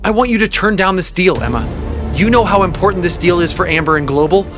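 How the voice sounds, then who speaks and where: A man's voice: high-pitched, animated, fast. Audio drama role: actor as evasive, domineering male executive, irritable, manipulative, music underneath. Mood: pressuring. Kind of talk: melodramatic audio series acting. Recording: clear music bed under the voice.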